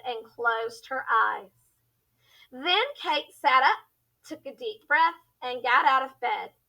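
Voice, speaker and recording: A woman teacher reading a children's story aloud in an expressive, deliberate storytelling style. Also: high-pitched, gravelly, low-quality recording.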